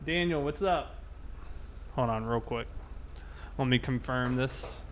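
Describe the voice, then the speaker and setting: A man's voice: mid-range voice, slow. Man roleplaying police, noisy vehicle background. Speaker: player roleplaying a police officer in an online game. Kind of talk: casual in-game roleplay chat and radio calls.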